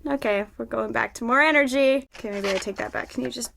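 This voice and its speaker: A woman's voice: a little high, fast talker, vocal fry, fairly bright tone, a little hiss or hum. A woman playing a bossy, exasperated play director who coaxes and interrupts at rehearsal, in a video game. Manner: coaxing, interrupting, pushing for energy. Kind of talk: dramatic game voice acting.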